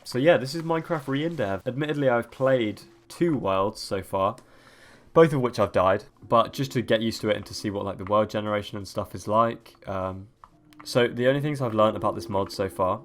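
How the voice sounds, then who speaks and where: A young man with a cold, sniffly and croaky, rambling through casual gameplay commentary as a let's-play host. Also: fairly low pitch, moderate pace. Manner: rambling, many ums and restarts.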